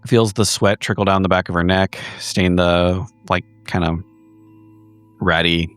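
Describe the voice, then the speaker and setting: Low-voiced man narrating his character. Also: fairly even intonation, a bit bright, clean tone, no echo, faint music behind. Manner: measured, descriptive, pausing. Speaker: player. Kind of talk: improvised narration in a tabletop horror game.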